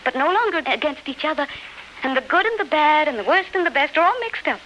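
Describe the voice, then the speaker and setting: A woman's voice: high-pitched, very animated, quick pace, bright, forceful tone, heavily acted. An actress playing the tender ghost of a beloved woman, lyrical and fervent, in 1930s radio drama style. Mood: gentle consoling. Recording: noisy, scratchy 1939 radio recording.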